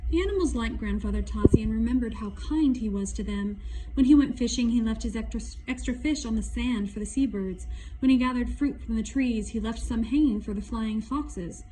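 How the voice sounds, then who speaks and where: A woman's voice: fairly high pitch, dark tone, slight background noise, low-quality recording. A woman reading a children's story aloud, stopping now and then to put questions to her listeners. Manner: pauses to ask explanatory questions. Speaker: storybook reader.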